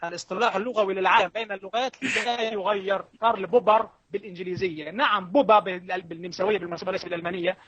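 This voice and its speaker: A man's voice: sits high, fairly even intonation, rapid-fire, hardly any pauses, fairly bright tone, muffled recording. A man debating combatively, pressing challenges and repeating himself insistently.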